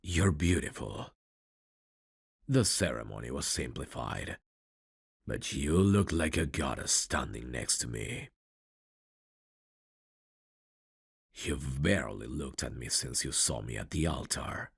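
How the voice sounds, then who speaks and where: A man acting a courteous, tender mafia bridegroom in an intimate ASMR romance roleplay, soft-spoken and flirtatious with a husky, gravelly voice. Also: very deep voice, expressive, unhurried, no echo, clean studio sound, exaggerated voice. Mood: tender, reserved.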